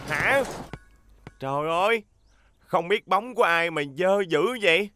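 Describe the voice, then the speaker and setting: A man's voice: high voice, measured pace, sharp tone, a little hiss or hum. A man voicing a possessive, fussy talking steamroller in a children's cartoon dub, exclaiming in a whiny, indignant way. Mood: puzzled, disdainful. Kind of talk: dubbed children's cartoon acting.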